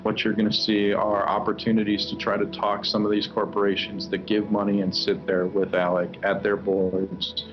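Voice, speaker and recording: A man's voice: fairly low pitch, completely flat delivery, normal speed, hardly any pauses. A man giving conversational answers as an activist interview guest. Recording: dull lo-fi sound. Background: faint music.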